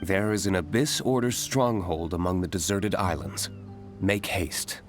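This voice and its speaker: A man's voice: sits low, a little slow, music in the background. An actor playing a stern, aloof young nobleman in a video game, curt and dry in manner.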